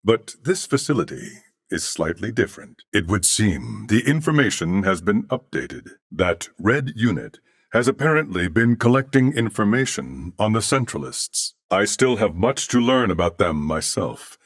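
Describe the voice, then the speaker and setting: Thoughtful machine-merged humanoid, low voice, expressive intonation, formal and courteous, earnest, video game character. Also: male voice, no room sound, studio quality. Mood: calm, explanatory. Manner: formal, measured, earnest diction.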